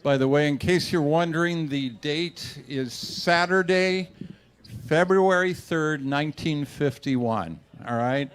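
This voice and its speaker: A man directing a radio play, playfully and deliberately instructing the studio audience. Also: a little high, smooth. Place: live stage with microphones.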